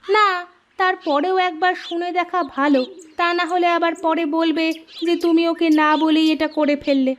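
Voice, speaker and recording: A woman's voice: very high-pitched, steady tone, normal speed, slight background noise. A woman playing a cautious village wife who dislikes quarrels, in a Bengali comic cartoon. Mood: cautioning.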